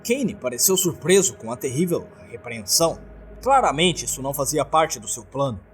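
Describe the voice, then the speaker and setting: A man's voice: on the high side, big pitch swings, moderate pace, soft background music. A man with a Brazilian accent narrating a scripted horror story.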